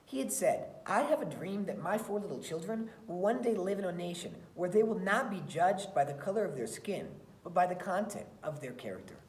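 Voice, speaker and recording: A woman teacher reading aloud and talking to students in a deliberate, emphatic way. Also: on the low side, lively intonation, a little mellow, a little hiss or hum. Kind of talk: expressive read-aloud and lesson talk for children. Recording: roomy, echoey sound.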